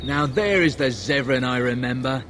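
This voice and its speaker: An actor playing a smug, persuasive assassin in a fantasy video game, speaking theatrically. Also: male voice, mid-range voice, moderate pace, fairly bright tone, a little hiss or hum, natural voice. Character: smug male assassin offering an old friend mercy.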